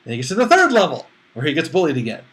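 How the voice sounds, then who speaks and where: A man's voice: on the high side, wildly swooping pitch, rapid-fire, fairly bright tone. A man giving a casual spoken film review as a movie critic, talking conversationally and acting out his imagined reactions.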